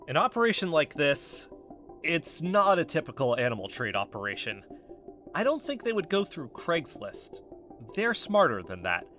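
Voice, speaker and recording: An eager, conspiracy-minded amateur investigator, a man with a very animated delivery. It is a podcast drama, with faint music behind the voice. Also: a little high, normal speed. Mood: insistent. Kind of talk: audio drama podcast dialogue.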